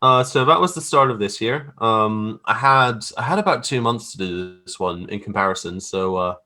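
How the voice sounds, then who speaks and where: A man's voice: fairly low pitch, moderate pace, pushed and bright. A man chatting casually as an interview guest, informal, wry and self-deprecating about his own work as a graphic artist.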